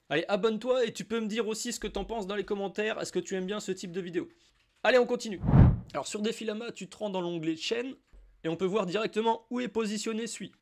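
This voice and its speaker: A French man with a slight cold, explaining a crypto wallet in a casual, chatty screen-share tutorial, talking straight to the viewer. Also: high voice. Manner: informal, addresses viewer as "tu", chatty asides. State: has a cold. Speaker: crypto YouTuber.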